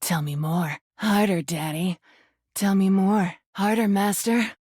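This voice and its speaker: Mouthy rubber duck for a video game, sassy n crude, mid pitch, super dry sound. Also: female voice, steady tone, takes it slowly, a little mellow, husky, dry recording. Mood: teasing, suggestive. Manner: sassy, mocking, combative, suggestive. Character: brash, crude, flirty female rubber duck come to life. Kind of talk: video game character dialogue.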